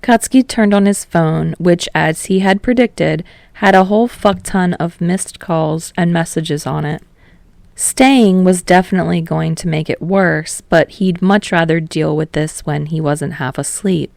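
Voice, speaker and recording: A woman's voice: lowish voice, measured pace, gravelly, darkish tone, no echo. A woman reading fiction aloud as an audiobook narrator, in a scripted storytelling style.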